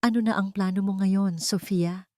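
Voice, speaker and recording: A woman narrator voicing a calm, loyal male friend who gently reassures, in a story. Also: mid-range voice, normal speed, no echo, studio quality, close to an everyday voice. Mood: gentle.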